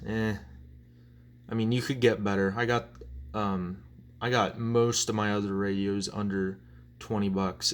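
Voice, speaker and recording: A young man with a fairly low voice talks at a very slow pace. He rambles casually and bluntly, poking fun at himself, like a hobbyist showing off a collection in a vlog. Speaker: collector presenting weather radios. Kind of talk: casual show-and-tell vlog.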